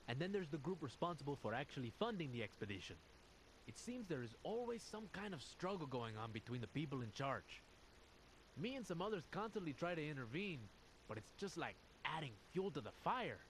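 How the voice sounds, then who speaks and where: Troubled squad officer, slightly high male voice, swinging intonation, earnest and confiding, deliberate game audio-log monologue, noisy recording.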